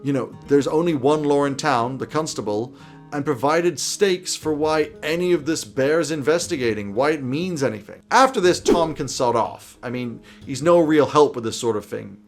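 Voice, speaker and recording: A man's voice: a little high, lively intonation, normal speed, faint music behind. A man in his thirties presenting semi-scripted game master advice to camera in a wry, emphatic, irreverent way. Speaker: host giving game master tips. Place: small indoor home office.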